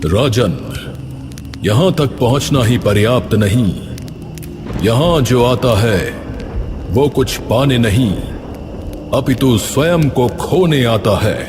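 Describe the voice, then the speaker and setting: Slow, solemn mystic spirit acted by a man. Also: mid-range voice, lively intonation, noisy background. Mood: solemn, testing. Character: mysterious unseen cave voice, slow solemn spirit testing a king.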